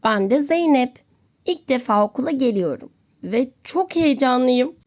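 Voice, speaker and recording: A woman's voice: high-pitched, lively intonation, measured pace, darkish tone. A woman voicing a friendly, eager little schoolgirl in a children's storybook tale. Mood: excited. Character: excited, slightly shy little schoolgirl, friendly and sweet.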